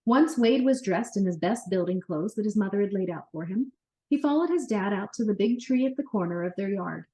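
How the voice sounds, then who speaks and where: Woman, children's storyteller, mid-range pitch, expressive read-aloud narration, animated delivery. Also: fairly quick, rough recording.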